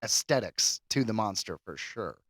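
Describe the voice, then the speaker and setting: A man's voice: fairly low pitch, expressive, moderate pace, fairly bright tone, dry recording. Rambling, speculative man chatting casually. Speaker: podcast co-host. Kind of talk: casual chat.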